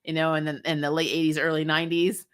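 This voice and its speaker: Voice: mid-range voice, little pitch movement, quick pace, fairly bright tone, clean tone. A middle-aged host chatting casually and bluntly through a TV review, often exasperated and swearing freely. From grew up on military bases. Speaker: host of a TV recap show. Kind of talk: casual opinionated review chat.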